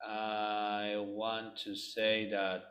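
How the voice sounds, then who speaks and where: Slow, low-voiced Argentine man presenting. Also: completely flat delivery, a bit bright. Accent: Argentine Spanish. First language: Spanish. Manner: hesitant, many ums and restarts. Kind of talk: webinar presentation.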